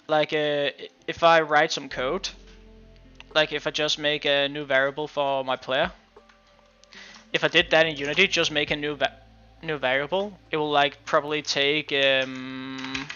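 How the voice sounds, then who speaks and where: A Danish man who is not a native English speaker, streaming casual game-dev commentary in a halting way full of restarts. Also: fairly high pitch, sharp tone, clear, resonant tone. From Denmark. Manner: halting, many restarts and fillers. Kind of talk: casual live-stream commentary while coding. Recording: muffled lo-fi stream audio.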